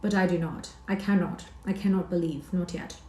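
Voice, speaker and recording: A woman's voice: on the low side, normal speed, dark tone, natural voice. A woman voicing a grieving young woman who speaks mournfully and pleads in a heartbroken tone. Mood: pleading. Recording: roomy echo.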